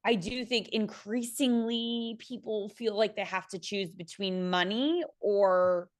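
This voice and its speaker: A woman with a medium-pitched voice chats on a panel in an informal, halting way. She is self-deprecating as she works through her thoughts, and her sound is dry, with little room to it. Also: no room sound. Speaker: theater panelist. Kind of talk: casual panel discussion.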